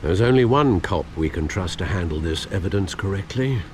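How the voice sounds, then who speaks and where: An actor playing a loyal older British butler in a video game, speaking formally and eloquently as a grave, watchful adviser. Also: male voice, low voice, animated, fairly quick, darkish tone, a little hiss or hum. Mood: incredulous, concerned. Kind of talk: dramatic video game acting.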